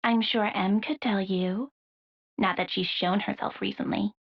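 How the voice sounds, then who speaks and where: A woman's voice: medium pitch, fairly even intonation, measured pace, vocal fry, breathy edge. A woman playing an irritable, offhand young messenger in a video game cutscene, on slightly muffled audio. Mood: exasperated.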